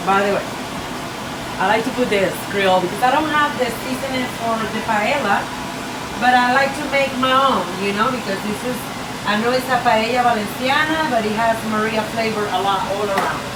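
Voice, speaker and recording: A woman in her thirties from Venezuela with a Venezuelan Spanish accent, chatting upbeat with viewers while cooking in her small home kitchen. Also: on the high side. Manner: upbeat, talks straight to viewers, "guys". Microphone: camera across the kitchen. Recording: echoey kitchen, voice from far off, hum behind.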